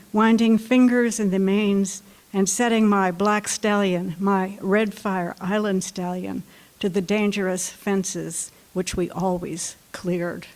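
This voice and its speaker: A woman with a light voice reads her own poetry at a library evening. She speaks at a middle pitch and takes the lines slowly and deliberately. In between poems she talks to the room in a relaxed, chatty way. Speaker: poet reading her work. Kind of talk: poetry reading.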